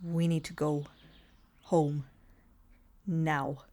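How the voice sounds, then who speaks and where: Lowish-voiced woman at a roleplaying game. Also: little pitch movement, drawn-out words, a little mellow. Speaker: tabletop roleplaying player. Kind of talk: improvised narration and table talk.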